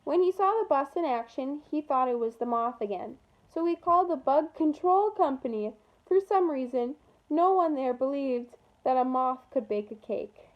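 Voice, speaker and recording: A woman reading a children's storybook aloud in an expressive way, acting out the dialogue with emphasis. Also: sits high, highs cut off. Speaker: storybook reader at an environmental learning center.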